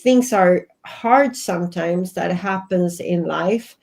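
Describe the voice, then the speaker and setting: Unhurried Swedish-accented woman in her fifties. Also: lowish voice, vocal fry, darkish tone. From Sweden. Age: 50s. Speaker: guest, life and business coach. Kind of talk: reflective interview talk.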